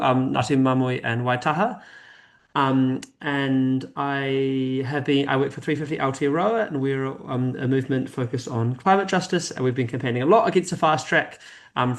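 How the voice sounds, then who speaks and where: A man working as a climate campaigner, introducing himself on a webinar, hesitant with many ums. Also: medium pitch.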